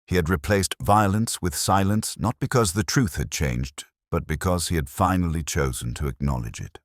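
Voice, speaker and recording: A man narrating a scripted first-person detective story in a measured, solemn, literary manner. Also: sits low, no room sound, clean studio sound.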